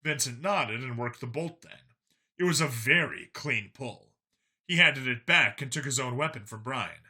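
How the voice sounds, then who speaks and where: A man's voice: mid-range voice, unhurried, bright, forceful tone, husky. A man reading scripted fiction aloud as an audiobook narrator.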